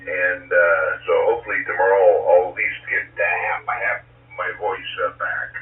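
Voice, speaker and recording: Male ham operator, low voice, recovering from a cold, halting speech, distant echoey radio sound. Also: level tone, slight background noise. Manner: halting, many fillers. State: getting over a cold. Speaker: radio net participant. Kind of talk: casual on-air radio chat. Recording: tinny distant radio signal in echoey room.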